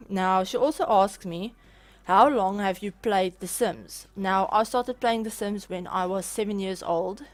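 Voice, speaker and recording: South African young woman, casual vlog chatter, easy mid-range voice. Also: medium pitch. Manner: chatty, with restarts and small laughs. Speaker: gaming YouTuber. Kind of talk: casual Q&A over a speed build.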